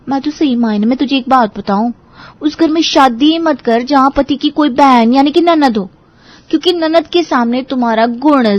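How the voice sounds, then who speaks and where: A woman's voice: high-pitched, dry recording. A woman playing a young married friend who is blunt, cynical and emphatic in her warnings, in an audio story drama.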